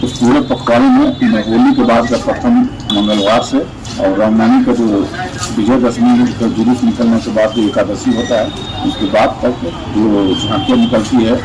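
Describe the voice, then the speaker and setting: Man talking over loud street noise. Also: medium pitch. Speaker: local author. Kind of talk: interview soundbite.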